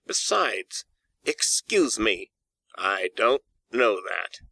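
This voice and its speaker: A man performs a miserly old businessman from a storybook, cold and scornful. His delivery is curt and sneering, with a bright, forceful edge in a very dry recording. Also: fairly low pitch, very animated, very slow, vocal fry, dry recording, full character voice. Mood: cold dismissive. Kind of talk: audiobook character dialogue.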